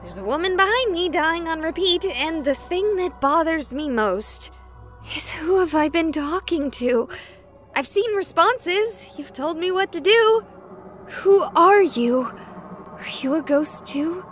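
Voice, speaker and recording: A woman's voice: extremely high pitch, animated, takes it slowly, soft background music, heavily acted. An actress voicing a young woman streamer, skeptical and sarcastic but rattled by a haunting. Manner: sarcastic, flustered. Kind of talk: visual novel voice acting.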